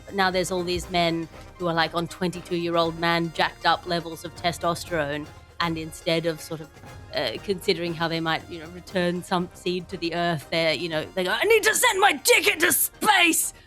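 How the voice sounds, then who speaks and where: Female podcast host, low register, satirical and wordy, mock-grandiose delivery, music underneath. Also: moderate pace, fairly bright tone. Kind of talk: comedy news panel, mixing scripted intros and riffing.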